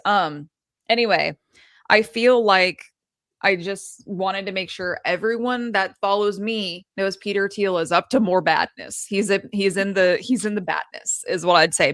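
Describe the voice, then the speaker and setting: A woman's voice: medium pitch, fairly bright tone, no echo, studio quality. A woman co-hosting a stream, chatting casually with lots of fillers and laughs. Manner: loose, laughing, filler-heavy. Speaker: stream co-host. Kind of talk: casual chat.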